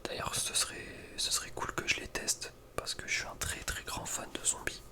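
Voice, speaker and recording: Whispering man in casual ASMR commentary. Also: normal speed. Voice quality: breathy whisper. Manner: informal, slangy. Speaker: ASMR video creator. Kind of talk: whispered ASMR casual commentary ranking video game maps.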